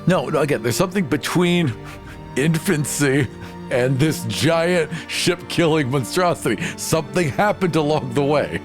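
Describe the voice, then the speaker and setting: A man's voice: on the high side, lively intonation, unhurried, over music. A man chatting casually as a podcast host in a discussion of tabletop gaming.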